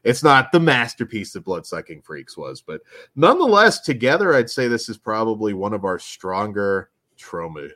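A man's voice: lowish voice, big pitch swings, moderate pace, a bit bright, no room sound. A man co-hosting a podcast in casual chat, losing his voice, with rambling, filler-laden plugs. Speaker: podcast co-host.

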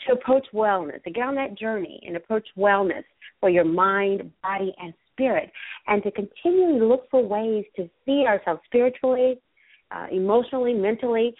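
A woman hosting a live internet radio talk show, bubbly and effusive, her voice still a little hoarse after a virus. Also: medium pitch, lively intonation, normal speed, dry recording. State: slightly hoarse, recovering from a virus. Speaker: host. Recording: tinny phone-line sound.

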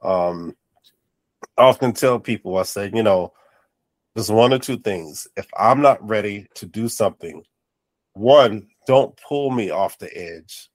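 A man's voice: fairly low pitch, lively intonation, a little slow, no echo. A man hosting an interview podcast, sounding nasal and congested, with affirming and encouraging talk. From North Carolina. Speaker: podcast host. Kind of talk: casual interview chat.

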